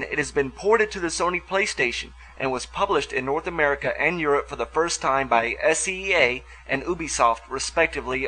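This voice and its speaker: Bright, forceful man reviewing video games. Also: mid-range voice, breathy edge, rough recording. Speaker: host of a video game review show. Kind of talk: scripted review narration.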